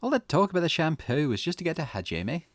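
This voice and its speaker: A man's voice: fairly high pitch, big pitch swings, fast, pitched above the performer's own voice. A man voicing a tough, grieving young woman in visual novel game dialogue, with an indignant, dramatic delivery. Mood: anguished questioning.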